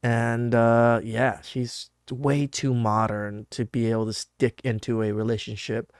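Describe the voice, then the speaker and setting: A man's voice: medium pitch, level tone, measured pace, clean tone, no room sound, clean studio sound. Blunt, cussing male podcast host. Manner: blunt and profane, with many restarts and fillers. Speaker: podcast host reacting to clips. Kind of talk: casual reaction commentary.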